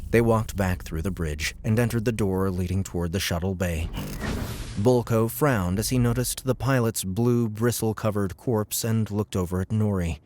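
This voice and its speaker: A man narrates fiction in a low voice. The delivery is scripted third-person storytelling, with slight noise underneath. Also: normal speed, a little mellow. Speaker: audiobook narrator.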